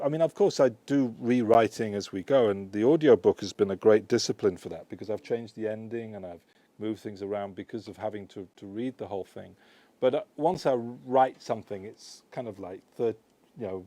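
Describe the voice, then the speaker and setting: Rambling man with a mellow voice. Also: lowish voice, fairly quick, dry recording. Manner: rambling and conversational, with frequent "you know" and "right?"; digressive, self-correcting. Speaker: author presenting his book. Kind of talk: live audience Q&A at a book launch. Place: book and music shop.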